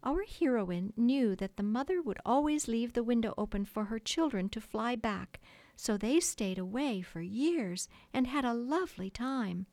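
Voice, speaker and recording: A woman voicing a motherly little girl who tells a story earnestly and grandly, gently scolding and theatrical. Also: on the high side, sing-song, a little slow, dry recording, pitched above the performer's own voice. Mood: grand, dramatic.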